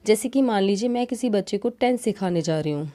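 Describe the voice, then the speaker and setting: A woman's voice: mid-range voice, animated, a little fast, dark tone. A woman with an Indian accent and Hindi as her first language, giving a didactic, explanatory lesson as a teacher. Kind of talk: explanatory lesson, alternating read-out points and explanation.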